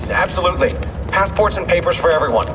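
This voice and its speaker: A businesslike accomplice in a game drama. His high voice is brisk and efficient, coming from far off in an echoing hall. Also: animated, noisy background. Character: brisk, businesslike accomplice briefing a covert team. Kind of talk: video game dialogue. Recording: distant, echoing large hall.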